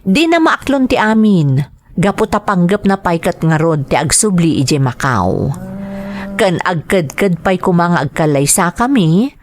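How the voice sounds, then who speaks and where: A woman narrating a scripted first-person story as part of an audio drama. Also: lowish voice.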